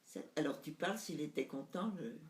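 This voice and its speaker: A woman's voice: sits low, expressive, a little fast. Elderly woman reminiscing in a roomy room. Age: 90s. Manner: reminiscing, hesitant, searching for words. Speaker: mother recalling family memories. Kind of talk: casual family conversation.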